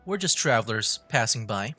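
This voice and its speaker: A man's voice: mid-range voice, animated, normal speed. A man streaming a story game, rambling with lots of fillers as he reacts. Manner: rambling, filler-heavy, laughs easily. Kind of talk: casual let's-play commentary. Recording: stream with game music behind.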